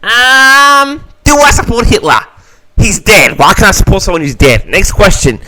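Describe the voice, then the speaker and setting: Animated, higher-voiced man hosting a Q&A. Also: normal speed, dry recording, poor audio. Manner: theatrical, mock-grand, addressing listeners as "audience". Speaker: host of an audio log answering audience questions. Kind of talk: casual audience Q&A, reading questions aloud and answering.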